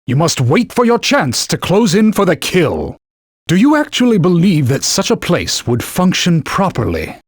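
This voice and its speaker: Stern talking sword, knightly mentor, husky, formal, very lively pitch, dry studio sound. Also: male voice, normal speed, dry recording, exaggerated voice. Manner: stern, lecturing, barks urgent warnings. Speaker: video game character. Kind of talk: game voice clips. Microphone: studio mic.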